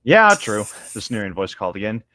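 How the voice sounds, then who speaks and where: A man's voice: lowish voice, sing-song, fairly quick, sharp tone, no room sound. A man doing a theatrical, comic reading aloud with casual, jokey asides.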